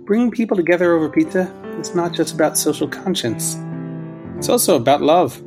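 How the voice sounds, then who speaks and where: A man's voice: fairly high pitch, animated, brisk. Man narrating over a music bed. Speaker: podcast host. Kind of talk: scripted narration.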